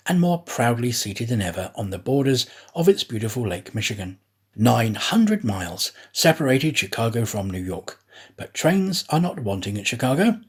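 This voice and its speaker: Expressive man narrating a storybook, very varied pitch. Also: husky. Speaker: audiobook reader, actor.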